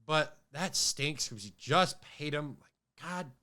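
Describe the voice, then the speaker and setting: Bright-voiced man with a cold. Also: a little high, takes it slowly. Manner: rambling, many fillers and restarts. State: has a cold, voice a bit off. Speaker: podcast host. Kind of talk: casual sports podcast talk.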